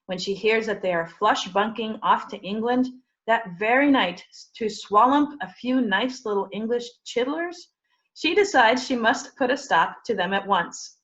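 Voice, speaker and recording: A woman's voice: fairly high pitch, lively intonation, a little slow, fairly bright tone. A woman hosting a library program over a video call, presenting books and interviewing casually. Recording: dull video call audio.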